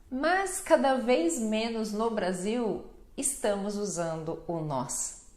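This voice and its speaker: Slow, careful Brazilian woman teacher. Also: mid-range voice, echoey room. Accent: Brazilian Portuguese. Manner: slow, careful explanations with frequent "né?" and lively greeting examples. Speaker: Portuguese teacher. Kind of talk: teaching a language lesson to camera.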